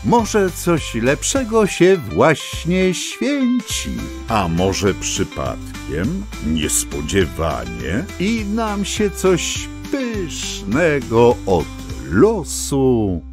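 A man narrating a scripted children's tale as a theatrical, expressive storyteller. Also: medium pitch, drawn-out words. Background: music.

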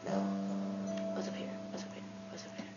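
A woman with a stuffy nose gives casual, rambling commentary while she plays a video game, excitedly talking to herself. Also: a little fast. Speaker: let's play gamer. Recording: dull lo-fi with game music.

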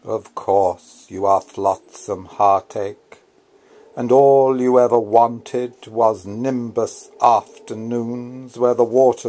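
A man, an author, reading his own literary prose aloud in a deliberate, theatrical, incantatory manner. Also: medium pitch, vocal fry, a little mellow.

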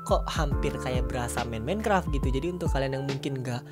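A man's voice: medium pitch, a little fast, loud music behind the voice. A young man with a stuffy nose from a cold, chatting casually and upbeat as he reviews a mobile game at home. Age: young adult. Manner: chatty and upbeat, lots of fillers. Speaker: gaming YouTuber. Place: home room with gaming chair.

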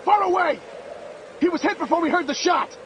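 An American paratrooper sergeant in a war video game, giving urgent orders. His voice rides high over loud battle noise, commanding and insistent. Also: expressive, a little fast, muffled recording. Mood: urgent, shouting.

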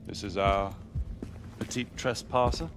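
Game role for a male actor: composed military commander, low, authoritative, imperious. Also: steady tone, a little slow, lightly acted. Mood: smooth authority. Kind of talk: video game cutscene acting. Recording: music underneath.

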